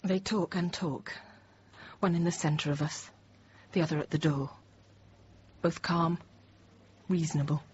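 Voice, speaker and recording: An actress in her thirties playing a frightened but composed French teacher held hostage, narrating quietly, often near a whisper. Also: low-pitched, steady tone, unhurried, pauses often. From France. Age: 30s. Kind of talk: first-person dramatic narration. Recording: dull noisy radio drama recording.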